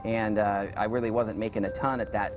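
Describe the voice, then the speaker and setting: Fast, flat-voiced low man. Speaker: testimonial speaker. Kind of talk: personal testimonial. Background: background music.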